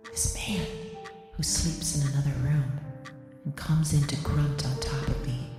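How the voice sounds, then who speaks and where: Low, solemn actress as a trapped, oppressed wife. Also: female voice, level tone, heavy reverb, in a large hall. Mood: bitter. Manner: deliberate, formal phrasing in short measured phrases. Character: trapped, oppressed wife longing for her female lover. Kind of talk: solemn, poetic audio drama monologue. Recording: music under the voice. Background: music.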